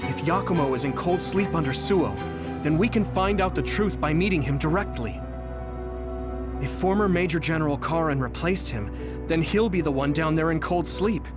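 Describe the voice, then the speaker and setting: An actor playing an earnest, thoughtful young squad member in an anime-style video game. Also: male voice, a little high, normal speed, over music, close to an everyday voice.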